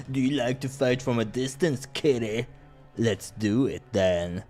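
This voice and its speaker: A man voicing a boisterous, combative male warrior from a visual novel, mocking his opponent with loud taunts. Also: mid-range voice, slow pace, faint music behind, close to an everyday voice. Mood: taunting.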